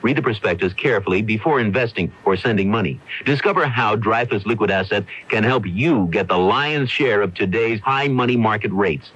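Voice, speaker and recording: A man reading a scripted financial radio advertisement in a husky voice, on a dull AM recording. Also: fairly low pitch, brisk, few pauses, fairly bright tone. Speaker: commercial announcer.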